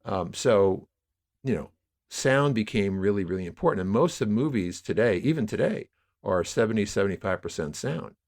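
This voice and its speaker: Male podcast guest, lower-pitched voice, talks through ideas conversationally as an emphatic, discursive storyteller. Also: lively intonation, moderate pace, darkish tone. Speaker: podcast guest, audio drama producer. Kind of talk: conversational interview, explaining media history.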